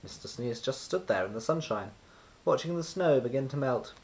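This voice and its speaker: Very animated male storybook reader. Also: medium pitch. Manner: expressive, deliberate storytelling with emphasis on repetition. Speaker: children's book reader. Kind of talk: scripted storybook narration.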